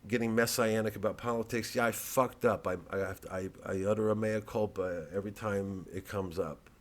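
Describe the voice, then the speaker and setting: A man's voice: on the low side, fairly even intonation, a little fast. An American man, a comedian, talking casually to his fans in a rambling, digressive monologue with some swearing.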